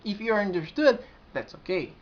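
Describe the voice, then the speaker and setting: A man's voice: high voice, big pitch swings. Earnest young Brazilian man, lo-fi audio. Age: 20s. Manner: earnest, halting, with frequent restarts. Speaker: community administrator. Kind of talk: casual vlog to camera. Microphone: built-in camera mic. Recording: dull lo-fi camera audio.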